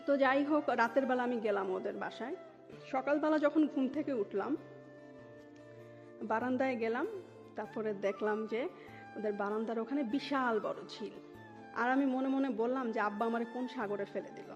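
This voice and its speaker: High-voiced Dhaka woman recounting her life. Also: normal speed, long pauses. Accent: Dhaka Bangladeshi. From Dhaka, Bangladesh. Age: 30s. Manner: mixes English words into Bengali. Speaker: interview guest telling her life story. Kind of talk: personal life-story telling in an interview. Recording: dull muffled sound with music underneath. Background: music.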